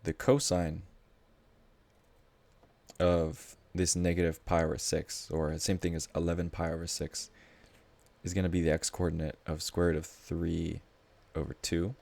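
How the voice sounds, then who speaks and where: A man's voice: deep voice, level tone, unhurried, vocal fry, darkish tone. A man tutoring math live in a casual, informal way, thinking aloud as he explains.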